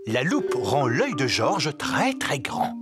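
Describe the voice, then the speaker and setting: Kids cartoon narrator, really low voice, lively storytelling, loud music behind. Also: female voice, slow pace, heavily acted. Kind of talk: scripted children's cartoon narration.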